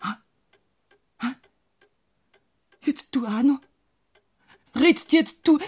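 An actress playing a distraught, defensive farmer's wife with a Bavarian accent, halting and breathy, in a German radio drama. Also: female voice, high voice, a little mellow. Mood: defensive, fearful. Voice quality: husky, breathy. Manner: halting, fretful, pleading.

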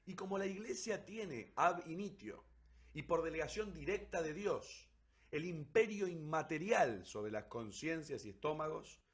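A man reading scripted literary narration as the narrator of an old story, in a quiet played-back recording. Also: a little high, lively intonation, measured pace.

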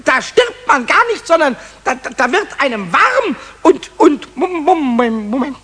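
Tipsy little kobold, children's radio play: high, unhurried, cheeky, excitable, sing-song. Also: male voice, heavily acted. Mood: giddy, boastful.

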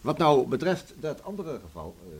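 Husky, probing police inspector. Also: male voice, medium pitch, sing-song. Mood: businesslike. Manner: measured, formal, probing, dryly sarcastic. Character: persistent, dryly ironic male police inspector questioning suspects. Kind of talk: Dutch radio play acting.

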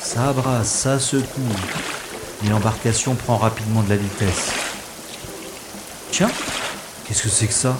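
A man's voice: medium pitch, background noise. A man playing a dry-witted secret agent. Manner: dry, ironic, unhurried. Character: wisecracking male secret agent, cool under fire. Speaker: lead character in an audio drama. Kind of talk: amateur science-fiction audio drama acting. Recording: rain and water sound effects behind.

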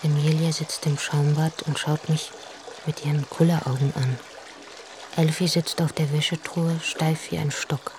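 An actress narrating as a weary young mother in a radio drama, in a hushed, half-whispered voice. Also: low voice, flat delivery, measured pace, noisy background. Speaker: first-person narrator, mother. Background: music.

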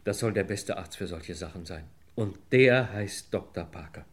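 Actor playing a deep-voiced, desperate older clerk. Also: male voice, lightly acted. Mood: pleading, desperate. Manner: halting, pleading, apologetic. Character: desperate, pleading 62-year-old male clerk confessing embezzlement. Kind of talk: mid-century German radio crime drama acting.

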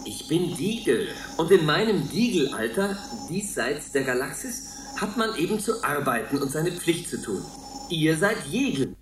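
An actor playing an officious, falsely cheerful caretaker in a radio play, speaking in a formal, patronizing way. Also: male voice, fairly high pitch, sing-song, a little slow, slight background noise. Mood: smug, dutiful. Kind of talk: German radio play acting. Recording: echoey room, faint music behind.

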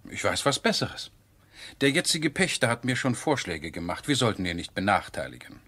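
Animated, husky gentleman landowner. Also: mid-range voice, moderate pace. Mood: eager, playful. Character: enthusiastic, impulsive gentleman landowner, amiable host. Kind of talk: German radio drama acting.

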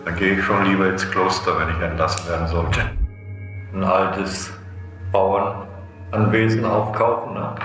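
Male speaker, very echoey room recording, low flat voice, questioning tags. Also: a little slow, faint music behind.

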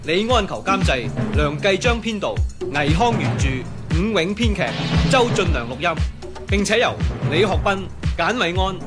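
A man's voice: high-pitched, animated, normal speed. A man with a Hong Kong accent reading credits as a radio drama announcer, in a dramatic scripted style. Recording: loud music under the voice.

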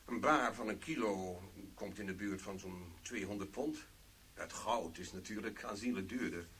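An actor playing a formal, precise and officious company manager in a radio drama. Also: male voice, lowish voice, normal speed, a bit bright, a little hiss or hum, close to an everyday voice. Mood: formal, proud. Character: formal male security-minded company manager showing a vault.

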